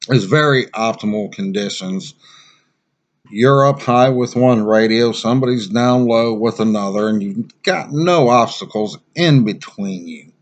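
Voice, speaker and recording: An American man giving a casual, unscripted gear review as a survival instructor, plain-spoken and rambling. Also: medium pitch.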